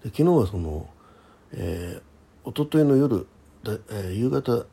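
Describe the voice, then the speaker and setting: A man, probably middle-aged, chatting casually by himself as a radio host, halting and thinking aloud in short polite phrases. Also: on the low side, animated, gravelly, mellow, breathy edge. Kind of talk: casual solo chat about daily life.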